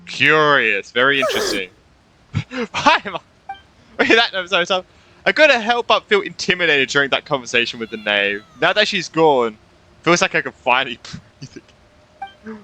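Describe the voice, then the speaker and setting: A man commentates a game playthrough casually and swears as he reacts. His voice is fairly high and very bright, with lively swings and faint music behind it. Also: measured pace, pauses often. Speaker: gaming streamer. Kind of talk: casual playthrough commentary, reading dialogue aloud.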